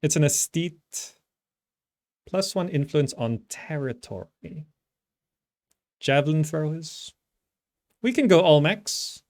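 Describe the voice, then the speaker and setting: A man's voice: a little high, lively intonation, slow, long pauses, darkish tone. A man giving casual live commentary as he streams a strategy game, thinking aloud as he plays. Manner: thinking aloud while playing.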